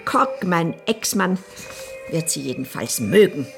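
A woman's voice: lowish voice, lively intonation, measured pace, gravelly, soft background music. An actress playing a bossy, eccentric older lady in a radio play, imperious, wry and teasing.

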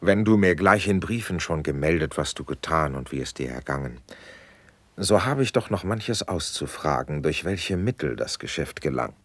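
Sceptical ruling prince for verse radio drama; extremely deep, dry-recorded, formal and commanding. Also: male voice, lively intonation, normal speed, fairly bright tone, no room sound, full character voice. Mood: probing, sceptical. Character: authoritative, sceptical male prince, gracious but probing. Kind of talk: classical verse radio-play acting.

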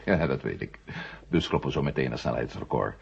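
An actor playing a curt, taciturn astronaut in a radio play, gravelly and husky, with a terse, dry delivery. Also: male voice, rumbling low, animated, brisk, heavily acted. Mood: dry, conspiring. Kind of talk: 1970 Dutch radio play acting. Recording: dull, muffled old radio recording.

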